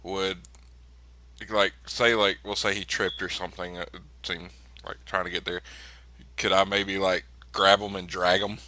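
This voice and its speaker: A man's voice: low-pitched, little pitch movement, moderate pace, sharp tone, dry recording. A man playing a tabletop role-playing game in casual, sweary table talk.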